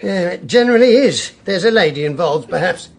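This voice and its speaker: An obsequious, greedy private eye for radio drama. The voice is high and husky, swooping about in pitch. He is cagey and hesitant, always ingratiating. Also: expressive, fairly quick, exaggerated voice. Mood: oily, ingratiating.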